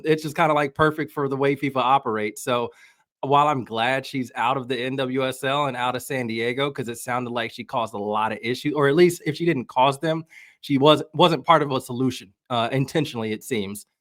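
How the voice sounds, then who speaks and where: A man's voice: medium pitch, brisk, a bit bright, no room sound. Wry American man, dry close sound. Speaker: podcast guest, soccer journalist. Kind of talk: casual conversational commentary.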